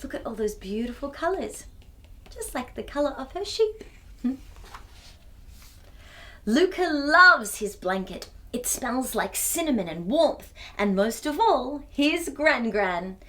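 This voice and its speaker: A woman reading a story aloud to young children in an expressive, exclaiming manner, often putting questions to her listeners. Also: sits high, takes it slowly.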